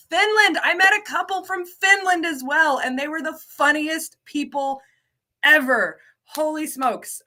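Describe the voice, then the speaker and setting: Woman, from the United States, ESL coordinator, chatty, repeats words, bright tense voice. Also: on the high side. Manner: bubbly, repeats words for emphasis, greets viewers. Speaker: ESL program coordinator hosting a livestream. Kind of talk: casual livestream lesson.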